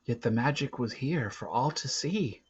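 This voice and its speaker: A man's voice: medium pitch, animated, normal speed, husky. A man reading a storybook and voicing the big, solemn male leader of a band of prehistoric hunters in a declamatory way. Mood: awed, solemn. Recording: dull, lo-fi recording.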